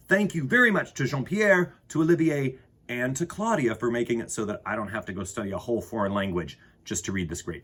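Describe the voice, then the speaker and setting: A man's voice: medium pitch, a bit bright, husky. A man hosting children's story time, reading and chatting expressively and enthusiastically, speaking straight to his young audience. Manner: enthusiastic, addresses listeners directly.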